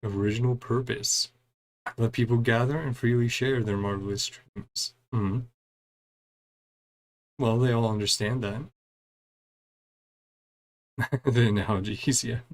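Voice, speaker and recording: A man's voice: mid-range voice, fairly even intonation, slow, pauses often, low-quality recording. Casual man doing chatty let's-play commentary. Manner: chatty, murmurs short reactions between read lines. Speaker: gaming streamer playing through a story quest. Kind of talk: casual let's-play commentary, reading game dialogue aloud.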